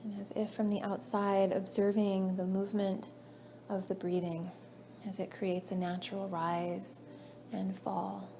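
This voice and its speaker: A woman leading a guided meditation as a yoga nidra teacher, soothing and measured, her phrases trailing off softly. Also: medium pitch, flat delivery. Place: indoor class room. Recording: faint background noise, live class recording.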